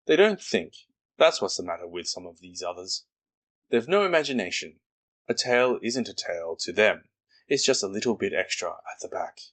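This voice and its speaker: A man voicing Eeyore, a gloomy old male donkey who grumbles and complains slowly. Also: lowish voice, very animated, fairly bright tone. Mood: grumbling.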